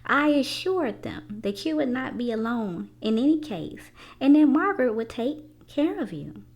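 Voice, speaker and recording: A woman voicing a kindly, reassuring father from a children's storybook in a measured, explanatory way. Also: fairly high pitch, sing-song, darkish tone, voice pushed up.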